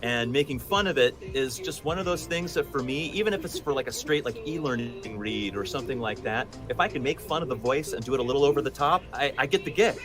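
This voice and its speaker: A man's voice: mid-range voice, quick pace, hardly any pauses, music in the background. A man in his forties, a voice actor being interviewed, telling stories in an enthusiastic, emphatic conversational way. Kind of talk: animated conversational storytelling.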